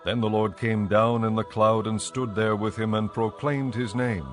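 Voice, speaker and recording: A man narrating a dramatized audio Bible in a measured, formal reading style. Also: on the low side, steady tone, soft background music.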